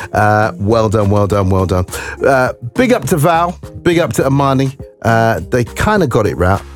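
A man presenting a gospel radio show from a studio, chatting upbeat with listeners and leading prayer. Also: medium pitch. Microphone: studio microphone.

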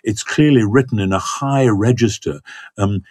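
An older man talks through a question slowly, circling back with ums and stammered restarts. His voice sits low. The recording is dry and close. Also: a little mellow, breathy edge, no room sound. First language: not Hebrew. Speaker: biblical scholar guest. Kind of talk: thoughtful conversational explanation.

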